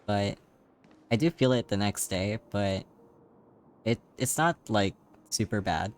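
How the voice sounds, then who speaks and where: A man streaming live, chatting casually with a slightly raspy, tired voice and rambling, filler-heavy talk. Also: on the low side, animated, slow. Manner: rambling, many fillers, laughs often.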